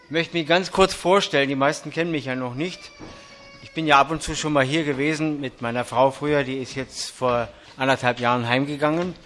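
An older man from Berlin with a light Berlin accent, preaching and reading scripture to a large congregation in an earnest, measured way with frequent hesitations. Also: medium pitch, fairly even intonation, fairly bright tone, faint music behind. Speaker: traveling preacher. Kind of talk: sermon with Bible reading. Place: church hall.

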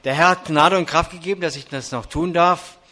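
An older man from Berlin with a light Berlin accent, preaching and reading scripture to a large congregation in an earnest, measured way with frequent hesitations. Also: a little high, bright, forceful tone. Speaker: traveling preacher. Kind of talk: sermon with Bible reading. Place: church hall.

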